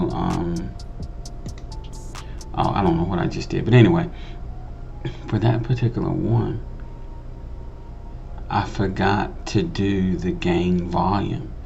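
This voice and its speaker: A man's voice: sits low, creaky, dark tone, muffled recording. A man giving a casual tutorial voice-over in a conversational, explanatory way, repeating phrases for emphasis. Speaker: host of a video editing tutorial channel.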